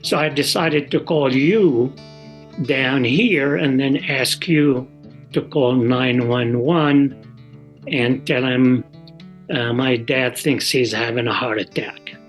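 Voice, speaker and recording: A middle-aged or older man recounts his own experience in unscripted, plain-spoken recollection, calm and halting. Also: medium pitch. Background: soft guitar music.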